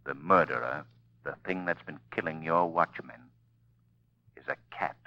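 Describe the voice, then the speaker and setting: A man playing an invisible, mysterious crime fighter with a commanding, solemn, deliberate delivery in 1940s radio drama style. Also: rumbling low, no room sound. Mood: urgent, commanding. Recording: muffled old-time radio recording.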